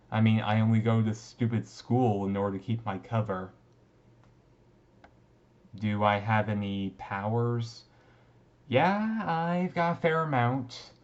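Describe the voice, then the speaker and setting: Casting: male actor as brooding yet easygoing teen vampire for ASMR roleplay; low, slow, halting delivery, slightly muffled recording. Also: darkish tone. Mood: reassuring, then casual. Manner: halting, conversational, mildly resigned. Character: brooding, easygoing male teenage vampire classmate, secretly thirty-seven. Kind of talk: ASMR roleplay, casual intimate talk.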